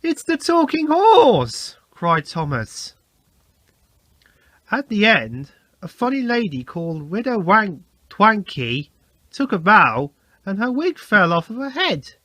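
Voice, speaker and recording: A man's voice: sits high, sing-song, takes it slowly, pushed and bright, no room sound. A man reading a scripted children's story as narrator.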